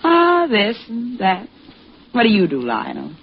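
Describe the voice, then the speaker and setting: Sly, lively barroom flirt. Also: female voice, a little high, measured pace, breathy edge, heavily acted. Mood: flirtatious, probing. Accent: American. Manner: teasing, sly, slangy. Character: flirtatious, worldly barroom woman, coolly after money. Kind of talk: 1940s-50s American radio drama acting. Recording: muffled, hissy old radio recording.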